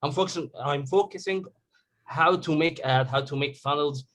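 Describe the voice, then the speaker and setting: Halting Egyptian man on a call. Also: a little high, a bit bright, slight background noise. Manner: halting, searching for words. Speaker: agency owner sharing story. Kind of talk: casual group call.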